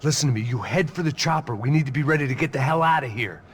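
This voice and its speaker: An actor playing Max in a video game, a weary, sardonic gunman for hire with a gravelly voice and a dry delivery. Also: medium pitch, quick pace, close to an everyday voice. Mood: tense, businesslike. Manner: dry, sarcastic, world-weary. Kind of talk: video game cutscene acting.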